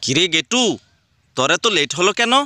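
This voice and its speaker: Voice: mid-range voice, big pitch swings, moderate pace, bright, forceful tone, slight background noise. A voice actor playing an exasperated male schoolteacher in a cartoon, scolding his pupils and exclaiming at their answers. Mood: stern. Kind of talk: cartoon voice acting.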